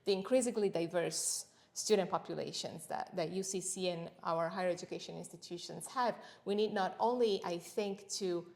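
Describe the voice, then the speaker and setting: A woman's voice: on the low side, creaky, a little mellow. Thoughtful Italian-born woman lecturer. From Italy. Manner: thoughtful, measured, with frequent pauses and fillers. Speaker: senior lecturer, interview guest. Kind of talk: academic interview conversation.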